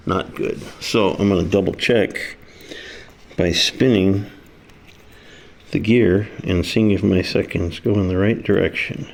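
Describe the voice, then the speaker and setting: A man's voice: low-pitched, steady tone, slow, vocal fry, pauses often. A man giving a casual, hands-on repair demonstration, talking through each step in a folksy, informal way. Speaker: repair video presenter. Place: kitchen, indoors.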